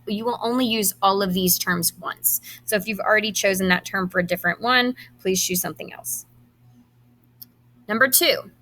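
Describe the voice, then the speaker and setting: A woman, a high school teacher, reads quiz questions aloud to students, enunciating each item deliberately and adding brief instructions. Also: bright, forceful tone.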